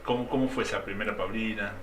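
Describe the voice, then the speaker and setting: A man's voice: on the low side, steady tone, quick pace, roomy sound, slight background noise. A man hosting a radio talk show, delivering an emphatic opinion piece and interviewing a guest. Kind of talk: scripted opinion monologue and interview.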